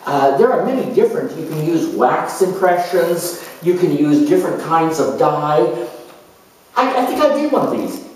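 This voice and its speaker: A man performing a theatrical monologue as a flighty, easily bored young student, excitable and gushing as he hops between subjects. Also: on the high side, moderate pace, a little hiss or hum, pitched below the performer's own voice. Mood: excitable, curious. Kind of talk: theatrical solo character monologue. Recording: very echoey room, distant mic.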